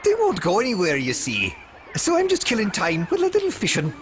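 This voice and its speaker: A laid-back video game angler over clear background noise. The delivery is lazy and hesitant, and the pitch leaps up and down dramatically. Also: wildly swooping pitch, a little fast. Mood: bored. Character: laid-back idle angler, bewildered and easygoing. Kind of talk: video game cutscene acting.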